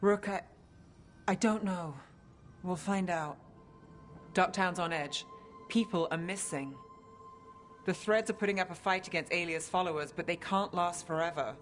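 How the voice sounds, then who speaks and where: An actress playing a guarded, wry, driven detective with a dry, clipped delivery in a game scene. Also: female voice, fairly low pitch, a little slow, long pauses, soft background music, natural voice. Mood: grave. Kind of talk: cinematic video game acting.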